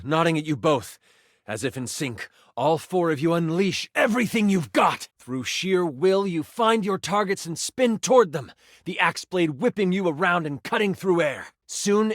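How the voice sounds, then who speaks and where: Theatrical, animated fantasy game master. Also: male voice, high voice, no room sound, clean studio sound, full character voice. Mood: excited, dramatic. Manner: theatrical, expressive, savoring suspense. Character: personified D20 die, male, theatrical, enthusiastic game master. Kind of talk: dramatic tabletop fantasy narration.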